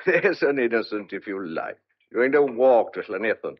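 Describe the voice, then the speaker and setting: A man's voice: fairly low pitch, sing-song, normal speed, breathy edge. An actor playing an opinionated, teasing older country father in an old-fashioned audio drama. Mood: genial, grumbling. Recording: dull, muffled lo-fi audio.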